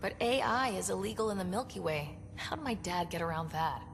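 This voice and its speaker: A gravelly-edged young space explorer. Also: female voice, medium pitch, expressive, brisk. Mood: curious, wry. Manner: casual, wry, direct. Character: young female space explorer, dry and direct. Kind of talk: scripted video game dialogue. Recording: reverberant space, clear background noise.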